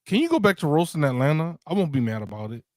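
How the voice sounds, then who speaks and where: A man co-hosting a live stream, chiming in, reading chat comments aloud and laughing along. His voice sits a little high for a man, and the sound is dry and close. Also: big pitch swings, quick pace, a little mellow, no room sound. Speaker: co-host.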